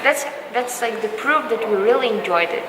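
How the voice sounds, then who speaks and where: A woman's voice: fairly high pitch, animated, moderate pace. A young woman from Belarus with an Eastern European accent chats casually, encouraging and reassuring listeners as an exchange student giving advice. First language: not English; Polish is second language. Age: young adult. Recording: echoey hall with loud background noise.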